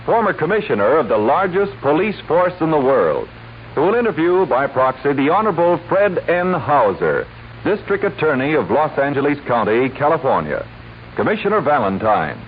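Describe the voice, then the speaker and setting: Muffled, faintly noisy vintage radio carries a male announcer. His formal delivery is a bit high and swings expressively in pitch. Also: big pitch swings, normal speed.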